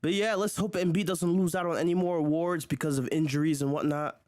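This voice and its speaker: A man's voice: fairly high pitch, steady tone, quick pace, a little mellow, no room sound. A man hosting a casual sports commentary video, chatty and exclaiming, often chuckling. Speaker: video host.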